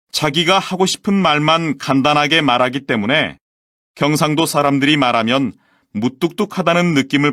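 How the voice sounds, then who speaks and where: A man with a somewhat high voice reads a scripted textbook presentation in a clear, measured and formal way. The recording is close and dry, with no room sound. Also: fairly bright tone, no room sound. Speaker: textbook audio voice actor. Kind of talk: scripted language-lesson reading.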